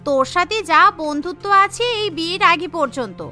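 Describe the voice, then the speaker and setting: A woman acts a spoiled, boastful young bride in a cartoon. Her voice is extremely high and bright, with a haughty, showy delivery. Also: normal speed, soft background music. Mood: snobbish.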